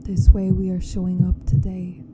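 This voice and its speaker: A woman leading a guided meditation in a hushed, deliberate manner with long pauses. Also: monotone. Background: meditation music with a low hum.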